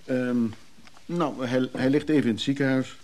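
An actor playing a gruff, guarded man who lives on a leaky boat, speaking colloquially in a naturalistic radio drama. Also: mid-range voice, steady tone, normal speed, a little mellow, lightly acted. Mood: awkward.

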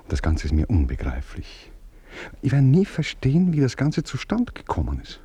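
An actor playing a tender aristocratic baron who speaks intimately and softly in a radio play. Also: male voice, normal speed, husky, no echo, exaggerated voice. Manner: intimate, soft-spoken, lively pitch.

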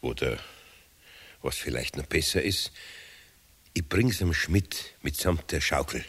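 Grummeliger alter bayerischer Handwerker, mit lebhafter Sprachmelodie. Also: male voice, extremely low pitch, expressive, a bit bright, breathy edge, no room sound, heavily acted. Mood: resigned, grumbling. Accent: Bavarian. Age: older. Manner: folksy, halting, grumbling. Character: grumbly, kindhearted old Bavarian craftsman, a bachelor. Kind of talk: 1970s radio play dialogue.

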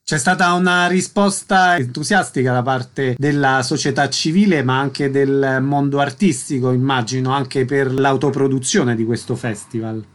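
A man's voice: mid-range voice, moderate pace, hardly any pauses. A man working as a radio host, introducing his guest and putting interview questions in a prepared style.

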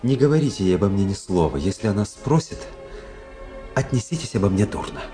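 Casting: male actor as world-weary officer-narrator for radio play; low, mellow, introspective, measured delivery. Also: animated, soft background music, close to an everyday voice. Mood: guarded, intimate. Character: brooding, world-weary young officer narrating his own story. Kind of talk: literary first-person narration in a radio play.

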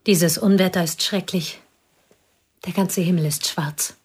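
A woman playing a reserved schoolteacher, the dead wife's confidante, in a radio play, speaking in a calm, measured way. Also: fairly low pitch, breathy edge. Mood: restrained. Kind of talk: radio drama acting.

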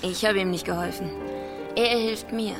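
A woman's voice: medium pitch, steady tone, normal speed, music in the background, lightly acted. A woman playing a friendly, obliging young barmaid with a polite, chatty manner in a radio drama. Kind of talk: naturalistic radio drama dialogue.

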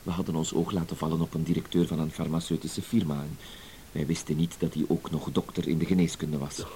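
An actor playing a cool, self-assured professional blackmailer in a radio drama, terse and matter-of-fact. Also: male voice, very deep voice, flat delivery, fast, dark tone. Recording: hissy old radio play recording.

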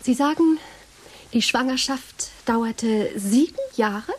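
An actress playing a brisk, matter-of-fact news-service interviewer with a husky voice in a radio play. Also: female voice, a little high.

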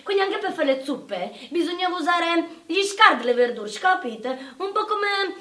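An actress playing an exasperated working-class wartime housewife with a Neapolitan accent, earthy and scolding, in a theatrical radio monologue. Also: female voice, extremely high pitch, expressive, unhurried, pushed and bright, heavily acted. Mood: chatty, complaining. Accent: southern Italian, Neapolitan. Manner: earthy, volatile, scolding, addressing listeners directly.

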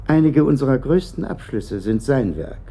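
An actor playing a bitter, uneasy middle-aged company director answering questions haltingly in a German radio play. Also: male voice, mid-range voice, sing-song, creaky, warm tone, slight background noise. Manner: hesitant, evasive, halting.

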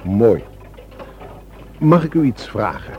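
An actor playing a polite, formal and principled helpline volunteer who guards secrets, in a radio drama. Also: male voice, lowish voice, big pitch swings, slow. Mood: courteous. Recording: muffled, noisy old radio play recording.

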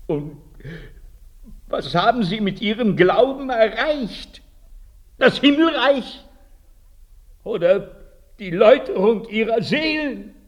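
An actor playing a guilt-ridden village priest in a radio drama, confessing slowly and accusing himself. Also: male voice, high voice, animated, full character voice. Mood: anguished questioning. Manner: slow, confessional, rhetorical questioning.

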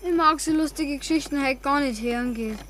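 A prim, pious young woman, her voice pitched very high. She pleads and scolds in dialect. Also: a little fast, fairly bright tone, a little hiss or hum. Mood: pleading. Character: pious young Bavarian woman, daughter of the deceased. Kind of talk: 1960s radio play acting.

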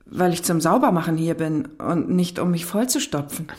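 A woman's voice: on the low side, animated, moderate pace, darkish tone. An actress playing a blunt, self-mocking working-class cleaning woman in a naturalistic radio drama. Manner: blunt, plain-spoken, colloquial.

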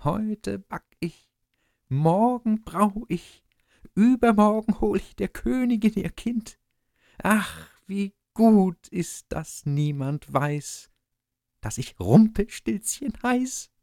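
A tiny greedy imp squeals in a high, strange, stretched-out voice. He taunts slowly and greedily. The storybook recording is dry and close. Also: animated, creaky, dark tone, no room sound, exaggerated voice. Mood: gleeful. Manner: high, drawn-out, taunting delivery. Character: spiteful tiny male imp, shrill and greedy. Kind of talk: audiobook reading of a fairy tale.

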